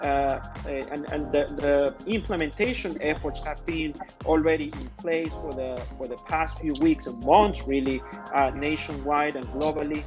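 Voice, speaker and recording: A man in his forties with a Peruvian Spanish accent, explaining things in halting phrases as a doctor being interviewed. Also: fairly high pitch, steady tone. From Peru. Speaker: interview guest, infectious diseases doctor. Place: indoor room, video call. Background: music.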